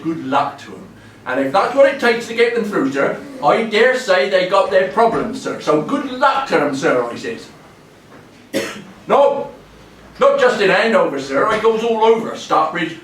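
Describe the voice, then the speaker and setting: An actor playing a cheery, deferential homeless wanderer of about seventy in a stage monologue, with a rural Hampshire accent and a halting, rambling way of talking. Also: male voice, high voice, big pitch swings, fairly bright tone. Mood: chatty, good-natured. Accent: rural southern English, Hampshire. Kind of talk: theatrical dramatic monologue. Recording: echoey room, distant mic, faint noise.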